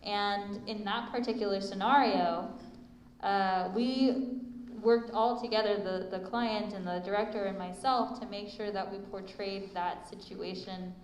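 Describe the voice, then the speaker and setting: A woman answers a fan's question on a convention panel in an earnest, careful way. Her pitch sits in the middle range. The hall adds a strong echo over a faint noise. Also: steady tone, a little slow. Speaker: voice actor panelist.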